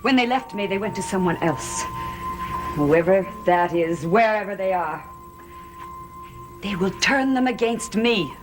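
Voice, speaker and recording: A woman acting a wary gothic psychic stripped of her powers. She speaks gravely and dramatically at a middling pitch. Soft music sits under the old TV soundtrack. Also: expressive, a little slow, pauses often, natural voice. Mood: fearful, wary. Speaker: actress in a gothic soap opera. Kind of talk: 1970s gothic TV soap opera acting.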